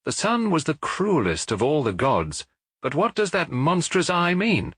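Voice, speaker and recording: A man voicing a short, unassuming priest in an audiobook detective story, serious, curious and gently pointed. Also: fairly high pitch, very animated, measured pace, breathy edge, no echo, clean studio sound, pitched above the performer's own voice, full character voice. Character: short, unassuming, earnest male priest, quietly wise.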